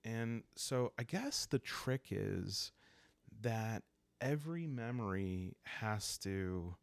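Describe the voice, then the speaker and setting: A man with a low voice full of creaky fry talks softly and calmly, as if helping listeners drift off. He hosts a solo game walkthrough, pausing often as he thinks aloud. The sound is close and dry. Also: no echo. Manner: calm, soft-spoken, hesitant pauses while thinking aloud. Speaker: host of a solo roleplaying game series. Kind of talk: calm, rambling sleep-aid game walkthrough.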